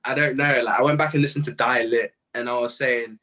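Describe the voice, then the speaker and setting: A man in his twenties with a husky voice, a guest artist chatting casually and telling stories in animated slang. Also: medium pitch, a little fast. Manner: animated slangy storytelling, quoting own reactions. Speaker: interview guest, music artist. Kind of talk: casual chat.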